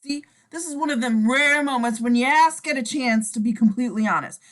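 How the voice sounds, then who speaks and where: Woman playing high-voiced, menacing, sardonic gunslinger. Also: fairly quick, a bit bright.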